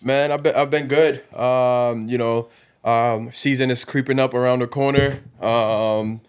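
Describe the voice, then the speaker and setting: A man's voice: medium pitch, fairly even intonation, a little slow, clear, resonant tone. A man from South Sudan talking casually and informally as a podcast guest, with slang and frequent "you know". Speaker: guest, college basketball coach.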